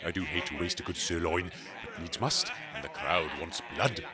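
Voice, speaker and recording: A man's voice: low-pitched, lively intonation, normal speed, over a noisy crowd. A man playing a genteel, theatrical cat-man with pompous, flowery speech in a comedy audio drama. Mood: soothing, theatrical. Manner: pompous, flowery, old-fashioned phrasing. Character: genteel, theatrical male cat-man mutant, grandiose and reassuring.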